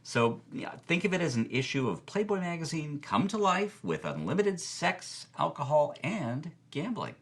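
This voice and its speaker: A man's voice: on the high side, sing-song, moderate pace, hardly any pauses. A man playing a gossipy film-history expert, telling scandalous stories theatrically as a podcast guest and answering his own questions. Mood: gleeful, scandalized. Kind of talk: animated storytelling in a podcast interview.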